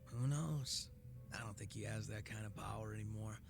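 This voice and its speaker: An actor playing a laid-back, sly gangster boss with a soft heart in a video game, speaking in a casual, teasing drawl. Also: male voice, lowish voice, moderate pace, vocal fry, over music. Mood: casual, wry. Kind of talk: video game cutscene acting.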